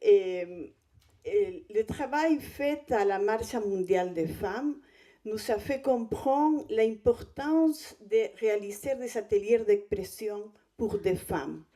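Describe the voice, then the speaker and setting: Slow-spoken woman, Chilean Spanish accent. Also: medium pitch, animated, warm tone. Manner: hesitant, halting delivery with non-native phrasing. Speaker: guest speaker, visual artist. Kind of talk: conference presentation.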